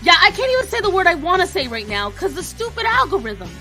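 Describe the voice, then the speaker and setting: A woman's voice: very high-pitched, sing-song, fast talker, creaky, bright, forceful tone, soft background music, exaggerated voice. A woman voicing a brash, foul-mouthed, confrontational young woman with bossy sass, bantering in character in a live Q&A. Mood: brash, taunting. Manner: confrontational, sassy, swearing, slangy.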